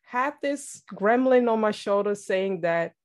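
A woman with a Dutch accent, whose first language is Dutch, talking as a guest in a casual interview, reflective and quick to laugh. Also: mid-range voice, animated. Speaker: podcast guest, entrepreneur.

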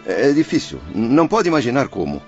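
A man's voice: medium pitch, huge pitch swings, a little fast, a little mellow, soft background music. An actor playing a confused, pleading male spirit, an anguished father, in a religious radio drama, speaking deferential, emotional Brazilian Portuguese on a dull recording.

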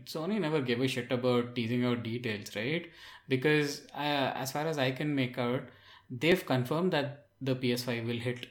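A man co-hosting a casual tech discussion, reasoning things through as he argues his point. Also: medium pitch. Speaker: podcast co-host. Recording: roomy, echoing sound.

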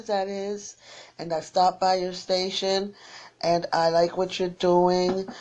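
A tired woman who is losing her voice, rambling through friendly shout-outs to listeners on her small internet radio station. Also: on the low side. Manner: rambling and warm, repeats her sign-off.

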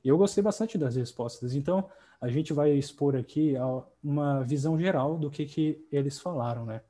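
A man hosting a podcast, talking in a relaxed, conversational way with frequent tag questions. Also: fairly high pitch, normal speed, slight background noise. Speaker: podcast host. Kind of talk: casual podcast chat.

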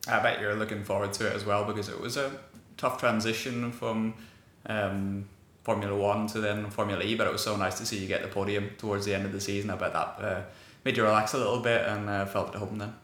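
Irish host, chatty and informal, low even voice, echoey room. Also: male voice, fast talker, few pauses. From Ireland. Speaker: podcast host. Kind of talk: casual interview.

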